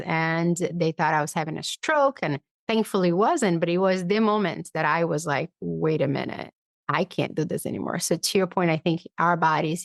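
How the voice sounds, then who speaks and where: A woman guest in a conversational interview, explaining ideas through personal examples and acting out her inner dialogue in an animated way. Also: lowish voice, vocal fry, dry recording, studio quality. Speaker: podcast guest, psychologist and author.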